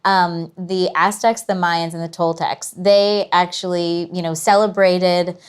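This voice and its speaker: A woman's voice: fairly low pitch, little pitch movement, measured pace, clear, resonant tone. A woman in her thirties, a curator guest, explaining things in a friendly way with lots of fillers. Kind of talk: casual interview chat.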